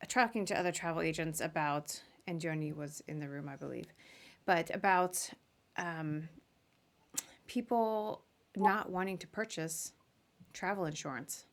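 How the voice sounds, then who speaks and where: Lower-pitched woman hosting a casual interview. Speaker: podcast host interviewing travel professionals.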